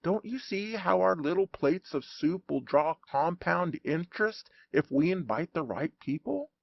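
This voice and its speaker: Animated man reading a humorous story, gravelly edge. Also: a little high, a little slow, no room sound, dull audio. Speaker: audiobook narrator. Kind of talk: scripted humorous narration.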